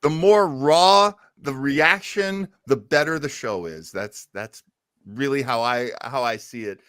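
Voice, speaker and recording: A man's voice: medium pitch, a little slow, fairly bright tone, dry recording, clean studio sound. A man hosting an old time radio listening show in casual livestream chat, with an effusive, jokey, informal manner.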